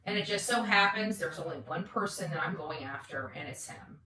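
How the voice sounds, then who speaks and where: A woman's voice: fairly low pitch, lively intonation, fairly quick, bright, forceful tone, slight background noise, poor audio. A woman hosting an unscripted, opinionated monologue, blunt and defiant, often repeating phrases for emphasis and swearing freely. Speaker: online content creator and host.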